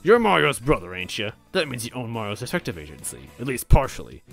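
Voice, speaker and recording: Storybook monster king, played by a male narrator: huge, bombastic, booming, slangy and sly, swinging widely in pitch over music. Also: wildly swooping pitch, fast talker, creaky, a bit bright, heavily acted. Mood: sly, goading. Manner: casual slangy speech with swaggering showmanship.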